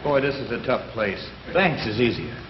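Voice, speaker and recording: An actor playing a blunt burglar partner in a 1950s television comedy sketch. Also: male voice, mid-range voice, lively intonation, normal speed, very noisy, natural voice. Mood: flat. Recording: roomy, far-off, lo-fi, loud studio audience.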